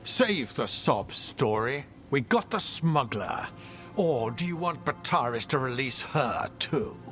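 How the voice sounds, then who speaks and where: Game antagonist: sneering male knight commander, slow, expressive, dismissive, faint music behind. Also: mid-range voice. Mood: contemptuous. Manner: dismissive, threatening, drawn out. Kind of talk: video game cutscene acting.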